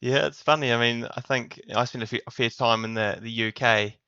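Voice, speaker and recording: A male podcast guest talks casually with a New Zealand accent. His voice is bright and sharp at an average pitch. The sound is close and dry but a little band-limited. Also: fairly even intonation, a little fast, clean tone, no room sound, lo-fi sound. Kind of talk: casual interview chat.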